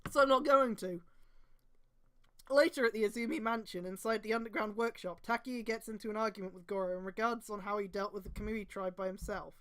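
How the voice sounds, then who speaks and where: A woman's voice: medium pitch, steady tone, quick pace, hardly any pauses. A woman reading fan fiction aloud on a stream, breaking in with exasperated, casual, sweary commentary.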